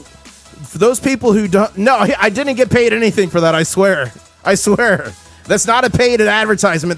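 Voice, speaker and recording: A man hosting a casual livestream, his voice hoarse, talking with excitable hype-man energy. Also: sits high. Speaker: livestream host.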